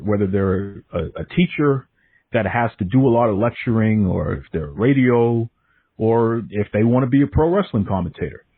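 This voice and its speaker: Husky Boston podcast host on a phone line. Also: male voice, fairly low pitch, no echo. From Northeast US, Boston area. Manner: rambling questions with repeats. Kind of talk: casual interview questions. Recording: dry phone-line audio.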